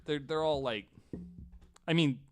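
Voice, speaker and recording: A man's voice: fairly high pitch, measured pace. A man hosting a casual podcast chat, swearing freely and bantering irreverently. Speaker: podcast host.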